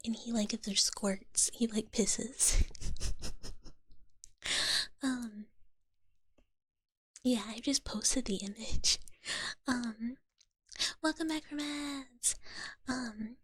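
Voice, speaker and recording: Brief: young woman streamer, soft breathy whisper, slow and giggly, ASMR chat. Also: a little high, dry recording.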